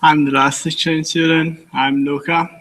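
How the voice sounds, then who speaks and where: A teenage Italian exchange student with an Italian accent, giving a halting thank-you speech in simple English at a public meeting. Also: male voice, a little high, level tone, a bit bright, slight background noise. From Italy. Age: teens. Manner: halting, simple non-native phrasing.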